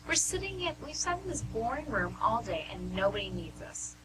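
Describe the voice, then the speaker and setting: A woman's voice: a little high, big pitch swings, normal speed. A woman playing a bored, whiny, defiant young juror in an amateur radio play, talking in sarcastic slang. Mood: bored, irritated. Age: young adult. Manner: complaining, sarcastic slangy talk. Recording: amateur radio, humming background noise.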